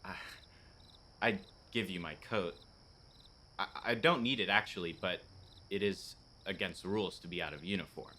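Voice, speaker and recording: An actor playing an earnest, rule-bound policeman in a radio play, with a halting delivery. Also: sits low, pushed and bright, a little hiss or hum. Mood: stiff, courteous.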